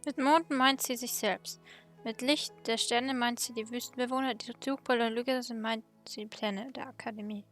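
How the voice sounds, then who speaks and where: A woman reads video game dialogue aloud as she plays, with brief comments. Her voice is fairly high and very bright, with faint music behind it. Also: fairly even intonation, moderate pace. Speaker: gaming video creator.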